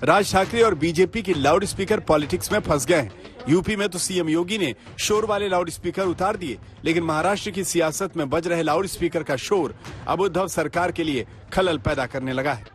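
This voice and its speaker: A man's voice: on the high side, normal speed. A man reading a scripted TV news report as a voice-over. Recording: voice-over with music bed. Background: background music.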